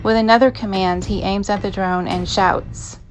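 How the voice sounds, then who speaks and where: A woman's voice: medium pitch, fairly even intonation, normal speed. A woman reading scripted dramatic narration as the narrator of an audio drama. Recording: music behind the narration. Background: background music.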